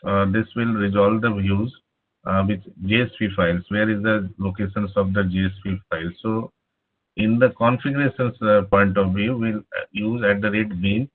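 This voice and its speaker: A man teaching a live online programming class over a speakerphone, pausing often with "uh". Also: on the low side, steady tone. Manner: halting, many filler "uh"s. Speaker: programming instructor. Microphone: laptop speakerphone, no headset. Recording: tinny phone-like line.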